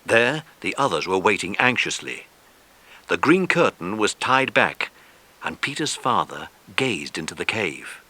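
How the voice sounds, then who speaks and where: A man narrating a children's adventure story from a script in an expressive storytelling style. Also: lowish voice, a little slow, a little hiss or hum.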